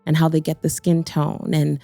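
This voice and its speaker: Low gravelly-voiced woman. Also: monotone, moderate pace, mellow, dry recording, faint music behind. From Guyana. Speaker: photographer guest. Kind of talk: interview conversation.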